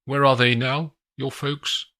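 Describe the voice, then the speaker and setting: A man's voice: medium pitch, flat delivery, unhurried, sharp tone, pitched above the performer's own voice. A man voicing a guarded former hitman who speaks in short, clipped questions in a thriller audiobook. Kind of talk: audiobook character voice.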